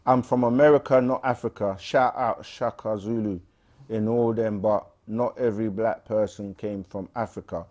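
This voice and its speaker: A man co-hosting a casual livestream, reading viewer questions aloud and answering them with frequent pauses and fillers. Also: lowish voice. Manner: relaxed, frequent "um" and restarts, reads questions aloud.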